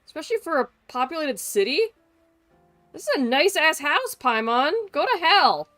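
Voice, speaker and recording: A woman's voice: high voice, big pitch swings, normal speed, pushed and bright, no room sound, soft background music. A woman livestreaming a game with casual, blunt, sweary and dramatic commentary. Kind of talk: casual reactive gameplay commentary.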